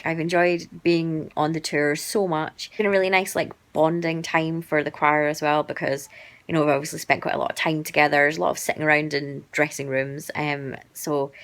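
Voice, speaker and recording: A young woman vlogger chatting casually to camera with lots of fillers, her voice slightly croaky. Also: deep voice. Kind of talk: casual chat.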